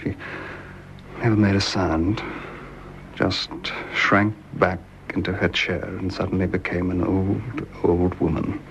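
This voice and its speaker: An actor playing a shaken, restrained young gentleman in a period radio drama. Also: deep voice, level tone, slow pace, gravelly, highs cut off, background noise, heavily acted. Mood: shaken, grieving.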